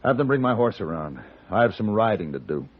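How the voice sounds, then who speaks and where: Stern gunfighter, low male voice, clipped and deliberate, 1950s radio drama, dull recording. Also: sing-song, husky. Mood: probing. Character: stern, controlled gunfighter hero of a western. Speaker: lead actor and narrator. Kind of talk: 1950s radio western acting. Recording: muffled old radio recording with music.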